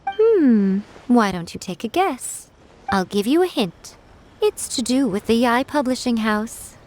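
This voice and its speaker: Game casting: sly yokai shrine maiden, poised and condescending, higher-than-average voice, playful sarcasm. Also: female voice, big pitch swings, measured pace, darkish tone, a little hiss or hum. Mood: teasing, condescending. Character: sly, elegant fox-spirit shrine priestess, haughty and teasing. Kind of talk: video game voice acting.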